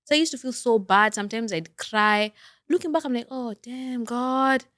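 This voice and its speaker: A woman's voice: a little high, normal speed, no echo, studio quality. A young woman from Kenya, co-hosting a podcast, talking chattily and telling stories from her own life. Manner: chatty and animated, telling stories with faith remarks. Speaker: podcast co-host. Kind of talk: casual podcast chat.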